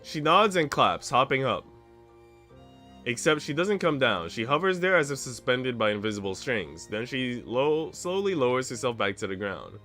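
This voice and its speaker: A man's voice: mid-range voice, very animated, moderate pace, pushed and bright, soft background music. A man streaming a visual novel, reading its narration aloud expressively with casual commentary.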